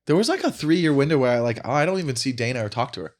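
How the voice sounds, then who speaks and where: A man in his forties hosts a comedy podcast with casual banter. His voice sits at a medium pitch. He often stops and repeats his first words before getting a sentence out. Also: expressive, rapid-fire. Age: 40s. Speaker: stand-up comedian.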